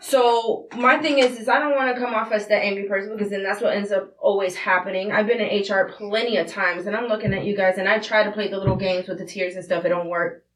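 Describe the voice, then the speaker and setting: A woman's voice: mid-range voice, steady tone, fast talker, few pauses, a bit bright, far from the mic, a little hiss or hum. A woman in her thirties in a candid group discussion, telling her story bluntly and with passion. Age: 30s. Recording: roomy sound, away from the mic.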